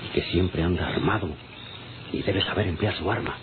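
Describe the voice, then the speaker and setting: An actor playing a wary, commanding crime boss in an old radio serial, with a Mexican accent. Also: male voice, low-pitched, normal speed, lightly acted. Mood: secretive, stern. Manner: secretive, commanding. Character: wary, scolding male boss plotting a killing in secret. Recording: tinny, very noisy old radio recording.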